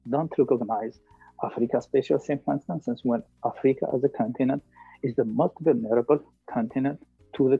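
A man from Algeria with an Algerian accent, giving explanatory interview answers as an expert guest, emphatic and deliberate. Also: medium pitch, gravelly, slightly rough, dry recording. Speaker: climate policy consultant, interview guest. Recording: thin phone-like call audio, faint music.

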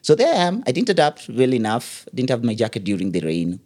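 A middle-aged man, an event MC telling a story as an interview guest, lively and acting out the voices he quotes. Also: mid-range voice, fairly quick. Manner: lively, acts out quoted voices, laughs often.

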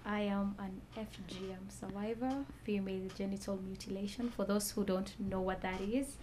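A woman telling her own story as a talk-show guest, soft-spoken and measured, pausing with fillers. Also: mid-range voice, little pitch movement, slight background noise. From Kenya. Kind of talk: personal testimony in a talk show.

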